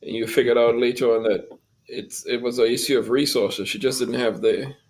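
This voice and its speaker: A man's voice: mid-range voice, little pitch movement, a little fast, darkish tone, slight background noise, poor audio. A man in his fifties, from Trinidad and Tobago, earnestly telling his life story as a guest. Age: 50s. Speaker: guest, music school founder. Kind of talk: personal story in an interview.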